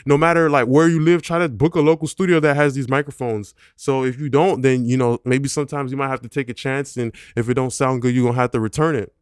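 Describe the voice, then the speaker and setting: A man's voice: mid-range voice, fairly even intonation, fast, few pauses, smooth, no room sound. A man, a music producer, reviewing studio gear in a loose, conversational way, full of fillers and slangy grammar. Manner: loose, conversational, many "you know" fillers. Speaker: music producer and mixing engineer. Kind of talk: casual gear review talk to camera.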